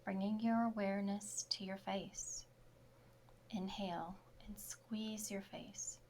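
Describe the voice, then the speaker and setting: A woman yoga instructor leading a guided relaxation meditation in short, soft, measured phrases. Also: medium pitch, creaky, slight background noise.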